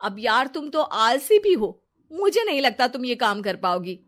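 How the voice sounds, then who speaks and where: A woman's voice: fairly high pitch, big pitch swings, normal speed, fairly bright tone, pitched above the performer's own voice. A woman voicing a scheming, deceitful young female friend in a brash, wheedling story-narration style. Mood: haughty, cold. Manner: brash, wheedling, fake sobbing.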